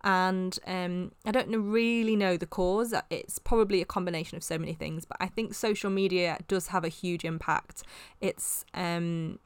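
A young woman vlogger with a slightly sore, croaky throat, talking to camera in a chatty, rambling way with many fillers. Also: fairly low pitch, fairly even intonation, normal speed, no room sound. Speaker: wellness vlogger. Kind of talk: casual talk to camera.

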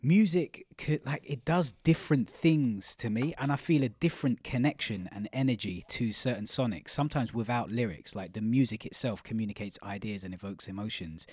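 Reflective podcast host, dry sound. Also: male voice, mid-range voice, fairly quick, few pauses, a little mellow, breathy edge, dry recording. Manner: reflective, casual, swears freely. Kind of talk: casual interview chat.

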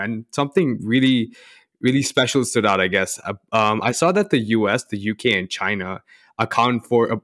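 A man in his twenties co-hosting a podcast, conversational, taking hesitant, drawn-out lead-ins to his questions. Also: fairly low pitch, a bit bright, dry recording, clean studio sound. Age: 20s. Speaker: podcast co-host.

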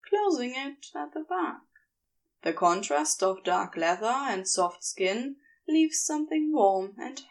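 Female audiobook-style fiction narrator: measured, expressive storytelling, very varied pitch, noticeable creak. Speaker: podfic narrator.

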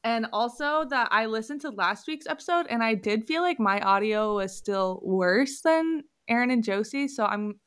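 A young woman co-hosts a podcast in a slightly high voice, chatting with giggles and quick apologies. Her talk is loose and friendly, full of fillers. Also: expressive, fairly bright tone, smooth. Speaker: podcast co-host. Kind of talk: casual chat.

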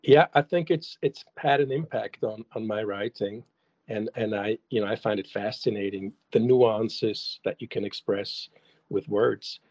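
Brief: German-native male songwriter, low-pitched, halting, muffled dry sound. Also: a little mellow, no echo. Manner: hesitant, many restarts and fillers. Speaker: songwriter and guest. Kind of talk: casual interview answers.

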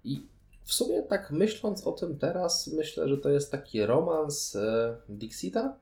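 A man's voice: a little high, lively intonation, measured pace, vocal fry, darkish tone, echoey room. A man chatting casually as co-host of a board game review show. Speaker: podcast co-host.

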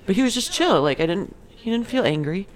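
A woman's voice: mid-range voice, big pitch swings, fairly quick, a little hiss or hum, low-quality recording. A woman co-hosting a casual podcast with friends, giggling often as she tells stories. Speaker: podcast co-host. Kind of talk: casual chat.

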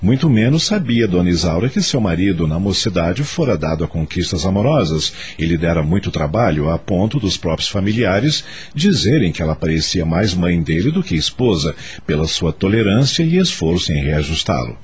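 Deep, animated Brazilian male narrator. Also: moderate pace, hardly any pauses, breathy edge. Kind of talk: scripted radio drama narration.

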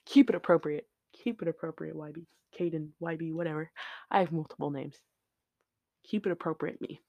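A podcast host talking in an unscripted solo chat, with a flat, monotone delivery, rambling from one tangent to the next with lots of fillers, into a shelf-mounted mic. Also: mid-range voice, fairly quick, gravelly, no room sound, lo-fi sound. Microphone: shelf-mounted studio mic.

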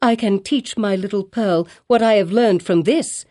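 A woman voicing a calm, dignified young mother speaking with quiet dignity. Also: mid-range voice, normal speed, lightly acted.

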